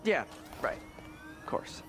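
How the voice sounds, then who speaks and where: A man's voice: slow, natural voice. An actor playing an upbeat, boyish, wisecracking young male superhero in a video game, chatty and eager. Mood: relaxed, wry. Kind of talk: video game cutscene acting. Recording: loud backing music.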